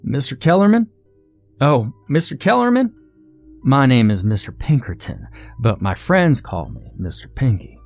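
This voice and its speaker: A man voicing a small, genteel Southern gentleman with a florid, drawn-out way of speaking and an American Southern accent. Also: mid-range voice, sing-song, soft background music, pitched above the performer's own voice. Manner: florid, drawn-out, ever so gracious. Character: small pot-bellied Southern dandy man, genteel, menacing.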